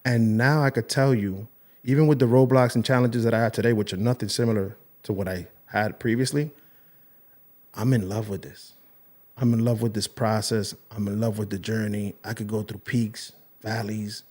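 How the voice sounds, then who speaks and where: A man's voice: lowish voice, fairly even intonation, moderate pace, darkish tone. Earnest, emotional male podcast host. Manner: earnest, emotional, rambling with restarts. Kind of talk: casual interview chat.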